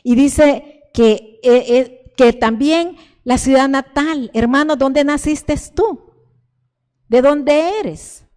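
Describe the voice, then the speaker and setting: An older woman from El Salvador speaks with a Salvadoran Spanish accent as she teaches a sermon to her church. Her delivery is fervent and repetitive, with frequent stumbling restarts, in a fairly high voice. The sound rings with the long reverb of a church hall. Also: slow, a little mellow.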